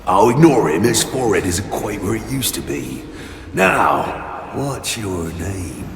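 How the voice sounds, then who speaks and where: An actor voicing a sneering, teasing undead pirate crewman in a video game, gravelly and drawling. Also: fairly low pitch, big pitch swings, slow, exaggerated voice. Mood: mocking. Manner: mocking, drawling. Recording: echoey reverb, noisy ambience.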